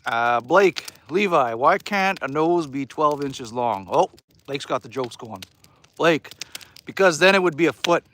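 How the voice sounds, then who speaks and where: A man's voice: a little high, lively intonation, measured pace, fairly bright tone, slight background noise. A middle-aged Canadian man hosting a casual livestream from his cabin, chatting folksy and joking with viewers as he reads their comments. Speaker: livestream host. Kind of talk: casual live chat reading viewer comments. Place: cabin by a wood fire.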